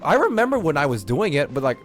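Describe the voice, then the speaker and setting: Casting: male game streamer, animated pitch, rambling casual slang, faint music behind. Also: fast talker. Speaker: livestreamer. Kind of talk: casual gameplay commentary to chat.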